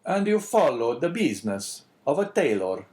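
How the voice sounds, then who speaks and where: A man voicing a courteous, inquisitive priest with an Italian accent, speaking formally and deliberately in a storybook reading. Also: mid-range voice, sing-song, a little mellow, pitched above the performer's own voice, natural voice. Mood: probing.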